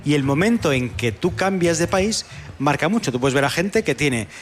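A man's voice: a little high, animated, moderate pace. A man co-hosting a casual radio interview, adding joking asides and anecdotes. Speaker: radio co-host.

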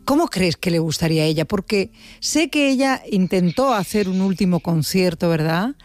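A woman hosting a radio interview, chatty and warm, with many hesitant fillers. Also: lowish voice, expressive, normal speed, dry recording. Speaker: radio host.

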